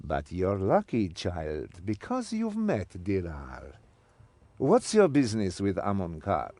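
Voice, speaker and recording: A mysterious desert guide from a fantasy game, shrewd and patronising. The voice is dark and slow, with lively turns and a dry recording. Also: male voice, low-pitched, dry recording, full character voice. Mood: patronising welcome. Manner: slow, paternal, self-important. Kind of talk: fantasy video game voice acting.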